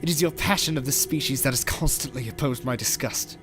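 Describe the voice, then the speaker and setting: A man's voice: medium pitch, a little fast, close to an everyday voice. A man playing a cold, gloating alien villain who despises humanity, in menacing, speech-making audio drama. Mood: scornful, grandiose. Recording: loud music behind the voice. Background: dramatic music.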